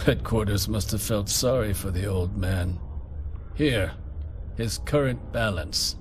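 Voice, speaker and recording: An actor playing a dry, haughty debt clerk for a trading company in a video game, formal and sardonic. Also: male voice, on the low side, slow, a little hiss or hum. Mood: dry, smug. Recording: game music over the voice.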